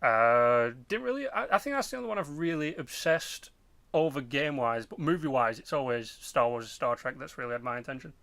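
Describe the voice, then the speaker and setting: A man in his twenties talks as a podcast host in a casual, animated storytelling manner. His voice sits at an average pitch, and the sound is dry with very little room in it. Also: fairly quick, gravelly, dry recording. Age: 20s. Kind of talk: casual chat about films and games.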